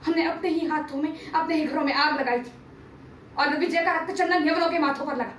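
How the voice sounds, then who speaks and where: A woman's voice: high voice, fairly even intonation, fairly quick, a bit bright. A woman performing a theatrical monologue as a stern, patriotic male teacher-statesman of ancient India, solemn and declamatory in formal diction. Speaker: amateur performer reciting a TV serial monologue. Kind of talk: theatrical dramatic monologue, oratory. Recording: roomy, distant mic, faint background noise.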